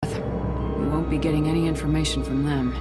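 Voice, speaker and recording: Game squad leader played by a woman: lowish female voice, firm, confident, loud score behind. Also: fairly even intonation, normal speed, loud music behind the voice, natural voice. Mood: firm, defiant. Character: resolute, principled female soldier squad leader. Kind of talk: cinematic video game dialogue.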